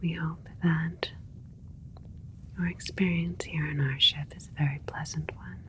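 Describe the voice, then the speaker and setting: A woman playing a gentle alien scientist in an ASMR medical roleplay, whispering in a breathy, soothing, politely clinical way. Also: low-pitched, low-quality recording. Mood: soothing, caring.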